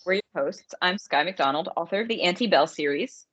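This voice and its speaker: A woman speaks as a podcast co-host in a casual, conversational style. Her voice sits a little low for a woman. The audio is slightly dull and lo-fi. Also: animated, brisk, a bit bright. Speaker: podcast co-host and romance author.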